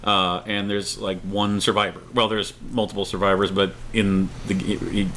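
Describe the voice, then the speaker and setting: A man's voice: lowish voice, little pitch movement, moderate pace, fairly bright tone, rough recording. Emphatic man chatting over background noise. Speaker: co-host of a show. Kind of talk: casual opinion chat. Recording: clear background noise, room ambience.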